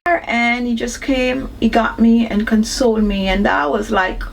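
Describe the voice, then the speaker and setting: High-voiced Jamaican woman vlogging. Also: measured pace, creaky, slight background noise, low-quality recording. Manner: chatty and animated, laughs often. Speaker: beauty vlogger. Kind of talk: casual vlog chat.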